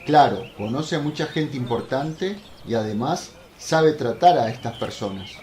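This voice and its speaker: Man playing devoted plain-spoken disciple, medium-pitched, religious radio drama, earnest chatter, echoey sound with birds behind. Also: lively intonation, unhurried, a little mellow, lightly acted. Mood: earnest, chatty. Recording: roomy echo, faint noise, birdsong behind.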